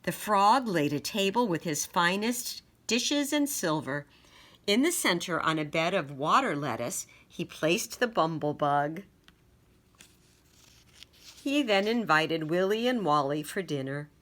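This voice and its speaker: A woman teaching young children, reading aloud and sounding out letters and words deliberately. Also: fairly low pitch, animated, fairly bright tone. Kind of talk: storybook read-aloud and phonics lesson.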